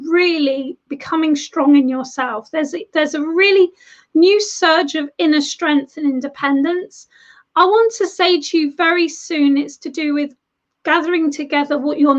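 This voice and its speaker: A woman giving live tarot readings to viewers, chatty and affectionate, calling them darling and love, her voice a little worn as she is losing it. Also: sits high. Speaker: tarot reader. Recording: dull lo-fi stream audio.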